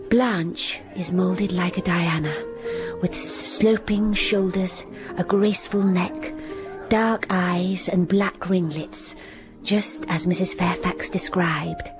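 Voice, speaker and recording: An actress playing a plain, self-critical young governess who narrates her own story in a radio drama. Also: fairly low pitch. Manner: introspective, self-mocking. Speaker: narrator and heroine. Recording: music under the voice. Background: music.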